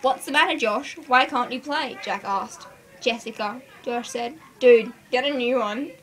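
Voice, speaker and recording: A woman's voice: fairly high pitch, measured pace. A young woman informally reading a school story aloud to friends in a giggly manner. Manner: giggly, breaks off to banter with listeners. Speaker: story reader. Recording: clearly noisy home recording.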